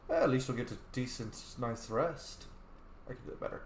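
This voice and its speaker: A man's voice: mid-range voice, big pitch swings, creaky. A man casually commenting and joking while he plays and roleplays through a video game. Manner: playful, jokey, quick reactions. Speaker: gamer playing and roleplaying. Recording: echoey room with faint hiss.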